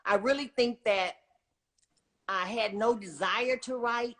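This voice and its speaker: A woman's voice: a little high, slow, fairly bright tone. A woman in her sixties from Texas, speaking as a guest author telling her own story conversationally. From Texas, United States. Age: 60s. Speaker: guest author, retired science teacher.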